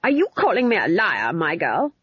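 A woman voicing a bossy, indignant old witch for a storybook, with a creaky, husky voice and a blunt, snappish delivery, in a dull recording. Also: on the low side, huge pitch swings, normal speed, dry recording, heavily acted. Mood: indignant, demanding. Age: older.

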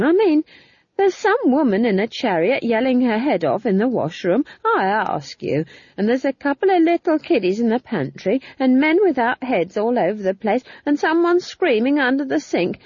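A woman's voice: sits high, a little mellow, slightly rough, dry recording, highs cut off, pitched above the performer's own voice, exaggerated voice. A woman voicing a bawdy, cheerful old village witch who rambles and gossips, reading for an audiobook. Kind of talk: audiobook character voice.

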